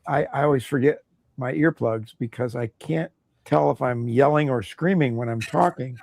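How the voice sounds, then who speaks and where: A man hosting a casual live stream, loud voiced and prone to rambling restarts. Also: mid-range voice, normal speed, gravelly, no room sound. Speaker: host.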